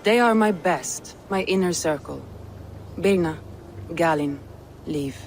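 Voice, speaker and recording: Soma, stern Norse warlord. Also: female voice, on the low side. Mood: determined. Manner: measured, stern and guarded. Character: proud, resolute female Norse warlord, middle-aged. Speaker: Norse lord of a city. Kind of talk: video game cutscene acting.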